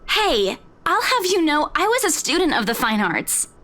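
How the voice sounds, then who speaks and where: An eager young estate agent woman from a game. Her voice is high and light. She talks quickly when flustered and turns defensive under criticism. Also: sing-song, sharp tone, exaggerated voice. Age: young adult. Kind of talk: video game voice acting.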